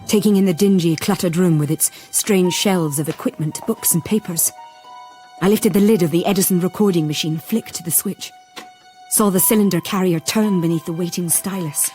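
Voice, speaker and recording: A woman's voice: on the low side, darkish tone, lightly acted. An actress playing a determined Victorian woman who narrates a gothic radio drama in the first person.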